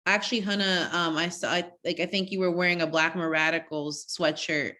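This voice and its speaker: A woman's voice: fairly low pitch, steady tone, moderate pace, sharp tone. Earnest woman hosting a live conversation. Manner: earnest, emphatic, thinking aloud. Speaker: interviewer.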